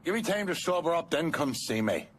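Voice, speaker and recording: An actor playing a bitter, foul-mouthed older Irish mobster in a video game, crude and swaggering, with an Irish accent. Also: male voice, a little high, big pitch swings, fairly quick, background noise. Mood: angry, rattled. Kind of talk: dramatic video game cutscene acting.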